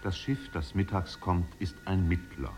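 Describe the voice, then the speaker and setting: An actor narrating a 1950s German radio play as a solemn, contemplative storyteller with measured, poetic delivery. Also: male voice, low-pitched, steady tone, music in the background. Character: solemn, contemplative male storyteller of island exiles. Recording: faintly noisy 1950s radio recording.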